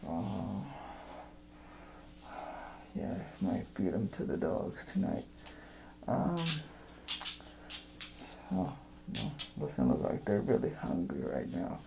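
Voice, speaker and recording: Man playing a creepy, halting evil jester, slow mutter. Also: sits low, huge pitch swings, creaky, noisy background, heavily acted. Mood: dithering, menacing. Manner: halting, muttering, rambling, often breaking into whispers. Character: creepy, unhinged, dithering evil jester killer. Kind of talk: ASMR horror roleplay.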